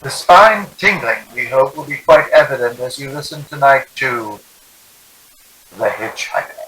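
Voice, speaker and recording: A man's voice: mid-range voice, unhurried, sharp tone, rough recording. A man playing a grand, wry celebrity host introducing a vintage radio thriller in a theatrical, formal manner. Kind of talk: 1940s radio presenting.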